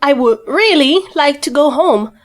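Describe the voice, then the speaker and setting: Brief: a high-pitched young woman as a stubborn, defiant heroine. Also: lively intonation, unhurried. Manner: feisty and defiant. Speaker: lead character. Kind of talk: amateur audio drama.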